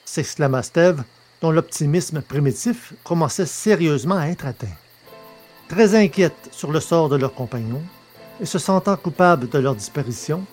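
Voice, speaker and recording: A man narrates a scripted audio drama over a music bed. His voice is on the high side for a man, and his reading is careful and literary. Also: darkish tone.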